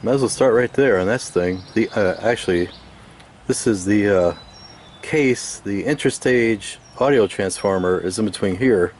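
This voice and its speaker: Clear background noise sits behind a man with a fairly low voice. He talks casually through hands-on restoration work. His delivery is unhurried and hesitant, as if thinking out loud. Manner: unhurried, hesitant, musing aloud. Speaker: radio restoration hobbyist.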